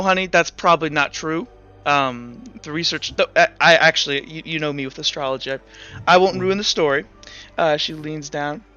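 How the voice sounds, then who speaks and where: Male game master, bright, slightly high voice, rambling storyteller; lo-fi audio, faint music. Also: clean tone. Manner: storytelling with frequent restarts and fillers. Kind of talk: narrating lore in a tabletop game. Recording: dull lo-fi sound with faint music.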